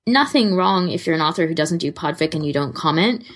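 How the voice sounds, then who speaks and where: A woman's voice: low-pitched, expressive, a little fast. A woman co-hosting a podcast in casual conversation, rambling and often restarting her sentences. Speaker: podcast co-host.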